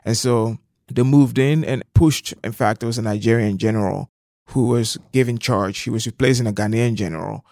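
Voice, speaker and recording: A man's voice: medium pitch, fairly even intonation, normal speed, darkish tone, no echo, studio quality. A middle-aged man from Liberia, explaining things conversationally as an interview guest. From southeastern Liberia.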